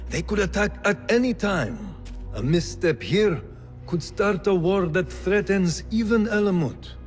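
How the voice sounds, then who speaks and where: This is a cautious mentor who weighs every word. He gives grave, measured counsel, his pitch moving widely, while clear music plays underneath. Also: lively intonation. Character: wise older male mentor, cautious. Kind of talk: video game cutscene acting. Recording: music playing behind.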